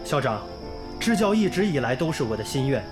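An actor playing an earnest, idealistic young volunteer teacher in a radio drama, polite and sincere in manner. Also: male voice, mid-range voice, big pitch swings, a little fast, natural voice, low-quality recording. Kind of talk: radio drama acting and narration. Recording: music bed under the voice.

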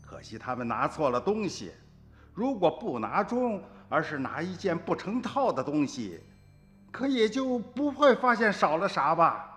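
Old village grandfather, lively swooping delivery. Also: fairly high pitch, unhurried, faint music behind. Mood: reflective, troubled. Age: older. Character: old village farmer grandfather recounting his family's story. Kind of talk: radio drama storytelling, natural dialogue.

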